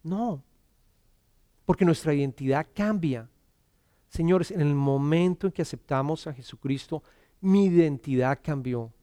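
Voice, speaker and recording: Earnest preacher, dry sound. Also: male voice, a little high, measured pace, pauses often, no room sound. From Colombia. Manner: earnest, repeats words when starting, drops to a soft hush on scripture. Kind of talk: sermon. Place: church.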